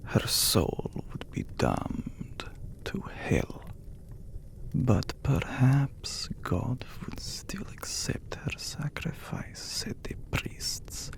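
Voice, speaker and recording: A man playing an immortal, brooding vampire lord in an ASMR audio drama, with a breathy whisper and solemn, formal phrasing. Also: mid-range voice, huge pitch swings, drawn-out words, exaggerated voice. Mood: grave storytelling. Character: handsome immortal vampire count, brooding, menacing lord of castle.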